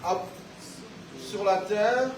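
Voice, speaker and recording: A man's voice: sits high, extremely slow. A man reading scripture aloud in short passages during a church service. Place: church hall. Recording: echoey, distant, noisy church recording.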